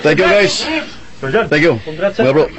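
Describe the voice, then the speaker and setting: An actor playing an earnest, modest hero of a freedom struggle, speaking in formal phrases in a radio drama. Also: male voice, high voice, expressive, takes it slowly, vocal fry, over a noisy crowd, full character voice. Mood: grateful. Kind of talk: melodramatic radio drama acting.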